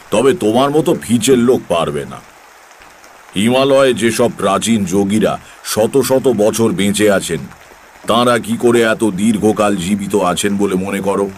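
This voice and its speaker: Low-voiced seasoned tantric storyteller, acted by a man. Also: in a large hall, close to an everyday voice. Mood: firm, assured. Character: Taranath, seasoned male tantric sage telling his true eerie tales. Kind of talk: dramatised audio story narration. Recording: faint background noise.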